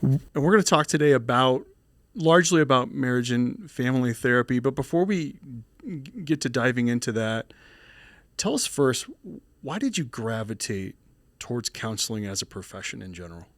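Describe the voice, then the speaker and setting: Mid-pitched male podcast host, dry sound. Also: lively intonation, normal speed, a bit bright, no echo. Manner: encouraging, asks rambling questions. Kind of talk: casual interview conversation.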